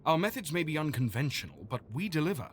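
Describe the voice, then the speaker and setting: An actor playing a loyal, reasonable Victorian doctor and detective's companion in an audio drama, polite and earnest. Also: male voice, on the high side, sing-song, quick pace, a little hiss or hum.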